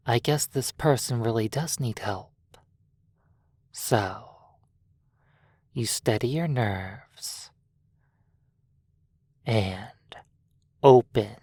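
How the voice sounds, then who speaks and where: Breathy soft voice, extremely slow pacing, hypnotic story narration, dry close sound. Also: medium pitch, no room sound. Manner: slow, deliberate, long pauses between phrases.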